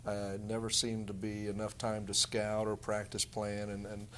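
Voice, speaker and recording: A man's voice: fairly low pitch, monotone, normal speed, a bit bright, a little hiss or hum. A man with an American accent speaking as a basketball coach, giving casual interview answers.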